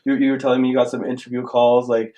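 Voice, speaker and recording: A young man co-hosting a student podcast, asking loose, rambling questions in a relaxed way. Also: medium pitch, quick pace. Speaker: podcast co-host. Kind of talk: casual interview.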